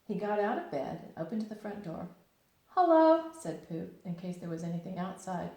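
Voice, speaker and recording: A woman's voice: lowish voice, fairly even intonation, fairly quick, warm tone. A woman teacher reading a children's storybook aloud expressively, with simple, explanatory phrasing. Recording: very echoey room.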